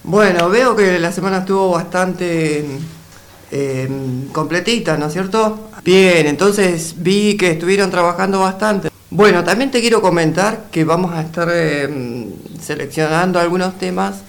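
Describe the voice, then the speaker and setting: A man's voice: high voice, a little slow, creaky. A man co-hosting a casual community radio show in a courteous, conversational manner. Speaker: radio co-host.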